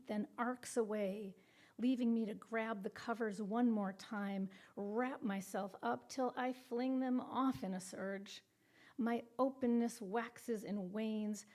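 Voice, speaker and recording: An older woman reads poetry in a soft voice, with measured, deliberate phrasing and breathy phrase endings. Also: mid-range voice. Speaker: poet reading own work. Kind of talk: poetry reading.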